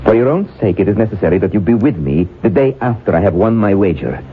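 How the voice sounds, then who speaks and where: A man's voice: on the low side, very animated, fast talker. An actor playing a suave, scheming male occult author in a 1970s radio drama, talking in a silky, mock-gracious drawl with small hesitations. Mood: threatening. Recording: narrow hissy old radio broadcast.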